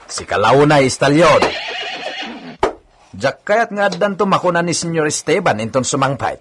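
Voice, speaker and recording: Wheedling hard-drinking hacienda worker, very animated. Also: male voice, fairly high pitch, moderate pace, noisy background. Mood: brisk, bossy. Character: wheedling, hard-drinking male hacienda worker who nags for liquor money. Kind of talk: radio drama acting.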